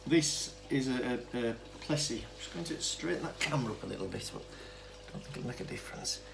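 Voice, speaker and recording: Male, average pitch, unscripted hobbyist demonstrator, halting and self-correcting, noisy amateur camera sound. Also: animated, gravelly. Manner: hesitant, rambling, self-interrupting explanation. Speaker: hobbyist demonstrator of vintage telephone equipment.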